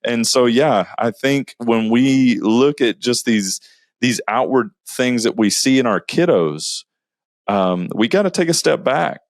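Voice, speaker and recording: A middle-aged man, co-hosting a casual family talk show in a folksy, halting, self-deprecating way. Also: medium pitch, lively intonation, no echo. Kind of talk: casual conversational chat.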